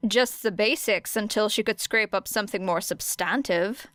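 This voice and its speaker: Clear-voiced woman narrating a story. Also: medium pitch, normal speed, a bit bright, no room sound. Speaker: audiobook narrator of fan fiction.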